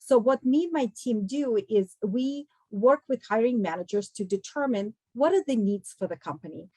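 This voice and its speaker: A woman's voice: a little high, lively intonation, moderate pace, darkish tone, slightly rough, no room sound. A woman from Kazakhstan giving a conversational career talk to students as a guest speaker, explaining things directly to her listeners. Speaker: guest speaker, recruiting executive.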